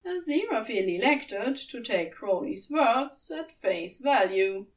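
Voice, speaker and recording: A woman reading scripted fiction as narrator, in a measured, expressive storytelling manner, recorded slightly away from the mic. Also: a little high, distant mic, low-quality recording. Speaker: podfic narrator.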